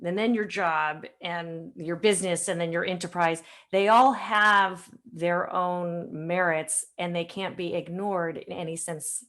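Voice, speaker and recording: A woman's voice: fairly low pitch, steady tone, measured pace, hardly any pauses, fairly bright tone. A woman speaking as a podcast host, interviewing in a casual, conversational style.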